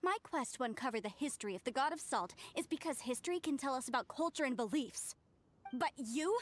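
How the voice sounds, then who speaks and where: High-voiced, earnest young scholar. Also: female voice, lively intonation, fairly quick, a little hiss or hum. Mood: indignant. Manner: hesitant, earnest, defensive. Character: timid but earnest young female archaeologist, easily indignant. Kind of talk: anime video game voice acting.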